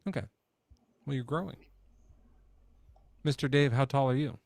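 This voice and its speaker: A man's voice: medium pitch, normal speed, a little mellow, no room sound. A man hosting a call-in debate show, questioning callers in a joking, sarcastic way.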